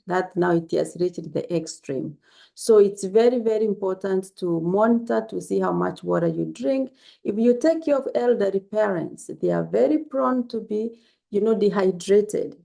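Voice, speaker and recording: A woman's voice: mid-range voice, creaky. A woman from Kenya, giving earnest, repetitive health advice in a casual vlog. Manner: earnest, repetitive, gently instructive. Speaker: health vlogger.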